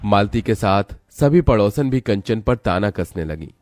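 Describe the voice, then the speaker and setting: A man's voice: lowish voice, expressive, a little slow, a little mellow, dry recording, faint music behind. A man narrating a scripted, dramatic story as a storyteller.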